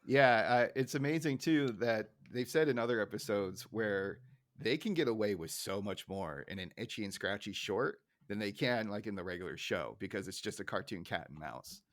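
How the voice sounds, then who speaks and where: A man's voice: on the low side, expressive, normal speed. A man co-hosting a podcast in casual chat, talkative and self-deprecating as he recaps a cartoon. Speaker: podcast co-host.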